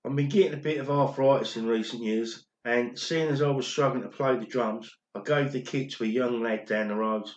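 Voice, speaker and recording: A man's voice: mid-range voice, brisk, hardly any pauses, muffled recording, natural voice. A man playing an older, regretful former pop drummer who confesses an old secret in an amateur radio play. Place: recorded alone at home, indoors. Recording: roomy home recording.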